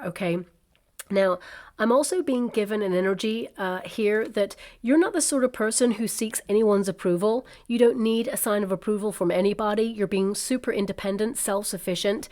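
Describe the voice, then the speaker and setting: A woman talks through a tarot reading in a loose, chatty way, reassuring her listeners and filling the gaps with ums and asides. Her voice is a little croaky from a cold that is coming on. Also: medium pitch, darkish tone. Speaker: tarot reader. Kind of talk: casual tarot reading to camera. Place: indoors at a table covered with cards and crystals.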